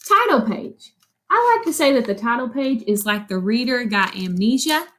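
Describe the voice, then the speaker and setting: Woman, high pitch, schoolteacher, children's read-aloud lesson, instructive, question-and-answer delivery. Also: a bit bright. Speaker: elementary school teacher.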